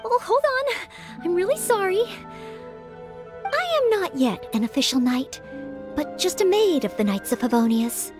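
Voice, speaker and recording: Gentle, earnest game maid, very high and lively, soft-mannered politeness, faint music. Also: female voice, unhurried, exaggerated voice. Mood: apologetic, hesitant. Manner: polite, kindly, sincere. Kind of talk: video game character dialogue.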